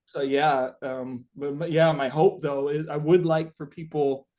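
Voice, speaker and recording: Halting man with a slightly high voice. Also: steady tone, darkish tone. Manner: halting, searching, informal with swearing. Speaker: interviewed musician. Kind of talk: casual reflective interview chat.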